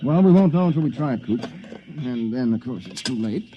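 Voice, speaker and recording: An actor playing a practical, determined inventor who leads a stranded group, matter-of-fact and commanding, in 1950s radio drama style, on a dull lo-fi 1950s radio recording. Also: male voice, medium pitch, animated, brisk, close to an everyday voice.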